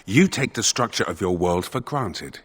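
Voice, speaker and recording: Husky, solemn spirit of justice. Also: male voice, on the low side, expressive. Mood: pondering. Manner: formal, measured, philosophical. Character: solemn spirit of justice inhabiting a dead man's body. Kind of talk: video game dialogue.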